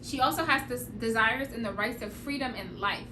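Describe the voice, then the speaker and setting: A woman's voice: fairly high pitch, fairly quick. A woman podcast co-host in casual chat, speaking emphatically and repeating her points. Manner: emphatic, repetitive, preachy. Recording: echoey room with background noise.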